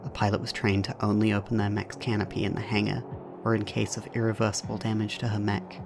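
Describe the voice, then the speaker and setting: A man's voice: fairly low pitch, completely flat delivery, quick pace, creaky, noisy background. A man reading scripted narration for an audio drama as its narrator.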